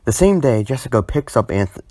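A man's voice: mid-range voice, big pitch swings, brisk, a little mellow, no echo, studio quality. A young man narrating a storytime video informally, with a sardonic manner and bursts of exaggerated emphasis.

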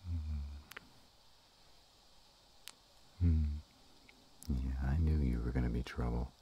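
A man playing an affectionate, bashful, gruff were-dragon lover in an intimate romantic roleplay audio, with a slow, teasing murmur. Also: lo-fi sound, a little hiss or hum. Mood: wry, fond. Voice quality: very deep and husky.

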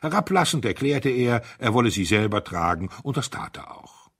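Husky, lebhaft erzählender Mann. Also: male voice, medium pitch, moderate pace. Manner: expressive, theatrical storytelling. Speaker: audiobook narrator. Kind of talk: dramatic scripted narration of a detective story.

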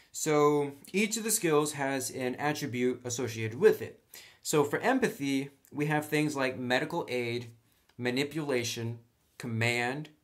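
A man hosting a tabletop game tutorial, explaining casually and thinking aloud as he goes. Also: medium pitch. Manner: thinks aloud while writing on a sheet. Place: indoors at a table.

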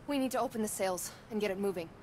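An actress playing a resourceful, technically skilled young woman in a video game, giving brisk instructions. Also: medium pitch, noisy background, natural voice. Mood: focused, instructive. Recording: loud music behind the voice.

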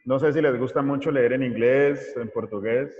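A man, giving an informal online lecture as a mathematics teacher, haltingly searching for words. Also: medium pitch, darkish tone, a little hiss or hum. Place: small indoor room, video call. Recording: muffled, echoey room over video call.

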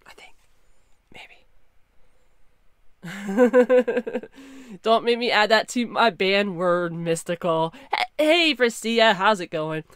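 A young woman streaming a game, chatting casually and excitably with her viewers through a headset, her voice slightly hoarse. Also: mid-range voice, slow pace, long pauses, dry recording, clean studio sound. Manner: chatty, excitable, talks to chat. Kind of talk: casual live-stream chat.

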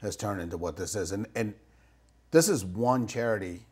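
Husky middle-aged guy hosting a studio talk show, lowish voice, rambles and restarts a lot. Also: normal speed, a little mellow. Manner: rambling, many restarts and asides. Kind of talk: casual interview chat. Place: small TV studio with curtain backdrop.

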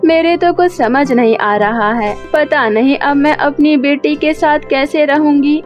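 A woman voicing a worried widowed mother in an animated story, anxious and pleading. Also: high-pitched, unhurried, lo-fi sound. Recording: music under the voice.

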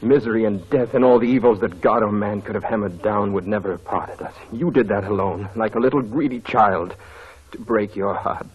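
An actor playing a brooding, bitter, passionate lover in an intense, melodramatic old radio drama style. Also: male voice, fairly low pitch, fairly quick, slightly rough, narrow, tinny audio. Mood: anguished. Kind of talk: 1940s radio drama acting. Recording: noisy old radio broadcast.

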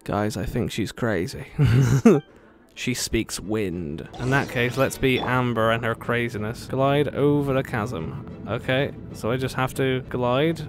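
A man's voice: mid-range voice, slow pace. A man giving casual, joking let's-play commentary, playful and quippy with sing-song asides. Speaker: video game let's-player. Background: game music.

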